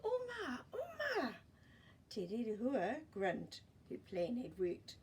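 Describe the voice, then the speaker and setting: A woman's voice: mid-range voice, takes it slowly, dark tone, slight background noise. A woman reading a children's story expressively, with playful asides to listeners.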